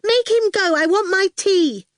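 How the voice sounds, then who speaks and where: Bratty young girl for children's audiobook; piercing, high-pitched, bossy and loud. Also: a little slow, no echo, studio quality. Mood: defiant. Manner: demanding, loud. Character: shrieking bratty eight-year-old girl, bossy and awful.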